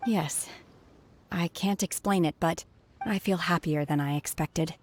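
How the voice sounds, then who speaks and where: A woman playing a reserved, gentle young woman in an anime game, subdued and reticent. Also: lowish voice, a little slow, a little hiss or hum, close to an everyday voice. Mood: quiet, shy.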